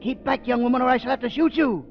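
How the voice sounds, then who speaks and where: Gloating evil doctor, gravelly high voice, muffled old 1930s radio show. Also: male voice, fast talker. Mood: gloating, threatening. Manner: theatrical, menacing, mock-tender. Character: sinister, gloating murderous male doctor villain. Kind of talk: 1930s radio drama acting.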